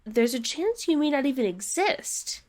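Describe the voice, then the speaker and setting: A woman's voice: high-pitched, very animated, normal speed, darkish tone. A woman playing a grave, reluctant demigoddess of magical tattoos in an audio drama, solemn and hesitant. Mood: wary.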